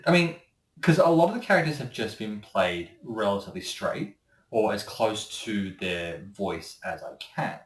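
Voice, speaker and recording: A man's voice: lowish voice, expressive, normal speed. A man chatting casually as a voice actor being interviewed, rambling and opinionated. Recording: roomy echo, distant microphone.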